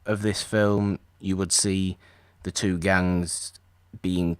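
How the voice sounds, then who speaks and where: A man's voice: deep voice, level tone, slow, clear, resonant tone, dry recording. A man co-hosting a podcast, chatting casually about an old film and rambling with restarts. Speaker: podcast co-host.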